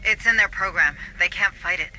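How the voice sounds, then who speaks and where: Bright, higher-voiced man scientist. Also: fairly quick, slight background noise, poor audio. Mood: matter-of-fact, wry. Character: earnest man scientist, former facility insider, thoughtful and wry. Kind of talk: video game cutscene dialogue.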